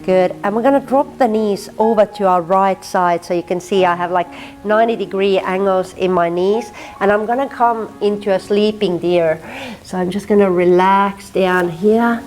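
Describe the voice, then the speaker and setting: A woman from Finland with a Finnish accent, teaching a gentle yin yoga class and softly cueing breath and movement. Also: mid-range voice, moderate pace, faint music behind. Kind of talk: guided yin yoga instruction.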